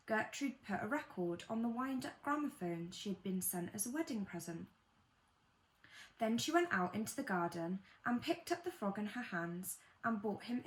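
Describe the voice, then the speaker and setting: Woman, bedtime storybook reader, expressive narration, medium pitch, gentle delivery, dramatic pauses. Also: poor audio.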